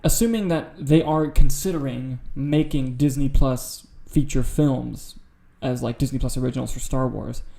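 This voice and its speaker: A man's voice: mid-range voice, steady tone, normal speed, vocal fry, few pauses, dark tone. A man hosting a podcast in a casual film discussion style. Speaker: podcast host.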